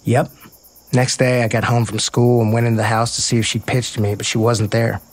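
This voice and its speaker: An actor playing a playful, sincere baseball player, casual and earnest in a naturalistic audio drama. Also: male voice, mid-range voice, level tone, a little fast, lightly acted. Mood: nostalgic, sincere.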